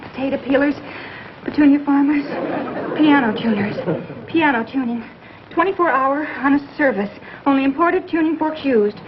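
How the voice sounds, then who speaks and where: An actress playing an anxious, insistent crook's accomplice in 1950s drama style. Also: female voice, high voice, normal speed, a crowd in the background, natural voice. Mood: anxious, searching. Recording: muffled, faintly noisy 1950s broadcast recording.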